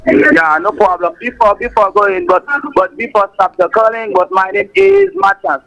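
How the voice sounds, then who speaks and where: Very high, creaky Haitian man phoning in. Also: sing-song, normal speed, fairly bright tone. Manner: hesitant, repeats words in learner English. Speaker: caller to an English-practice show. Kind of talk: halting phone conversation. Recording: muffled lo-fi phone call on air.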